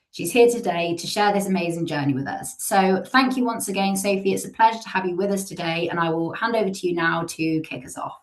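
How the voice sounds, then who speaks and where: A woman host giving a measured, scripted introduction of a guest speaker over a video call. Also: lowish voice, echoey room, poor audio. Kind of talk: formal introduction.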